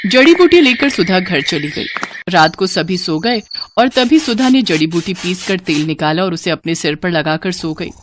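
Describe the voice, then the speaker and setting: A woman's voice: on the low side, expressive, moderate pace, noisy background. A woman narrating a scripted moral story.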